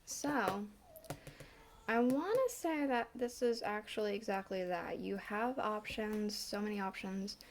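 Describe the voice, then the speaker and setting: A woman's voice: mid-range voice, a little slow, a little hiss or hum. Congested woman reading tarot. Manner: relaxed, chatty, many fillers. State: congested, slight cough; a bit winded. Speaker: tarot reader.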